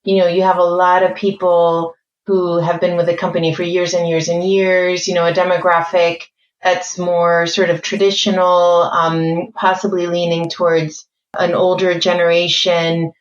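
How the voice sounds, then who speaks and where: A woman born in France and raised mainly in Spain, with a mixed accent shaped by American and British English, speaking as a guest in a podcast interview in a measured, articulate way. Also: lowish voice, flat delivery, roomy sound, lo-fi sound, slight background noise. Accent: mixed French, Spanish, American and British. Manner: measured, articulate, thinks aloud with fillers. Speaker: corporate learning executive, guest.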